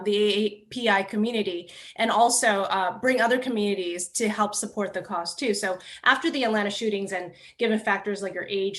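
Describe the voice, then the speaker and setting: Woman hosting a podcast, bright clear voice, polite, kinda reads from a script. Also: medium pitch. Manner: polite, partly scripted introductions. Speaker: podcast host.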